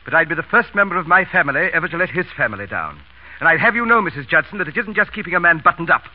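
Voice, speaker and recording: An actor with an English accent playing a deferential but proud valet in old radio comedy, very polite and formal, with a hesitant stammer. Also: male voice, a little high, expressive, rapid-fire. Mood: indignant, wounded. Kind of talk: 1940s radio comedy acting. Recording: muffled, hissy old radio broadcast.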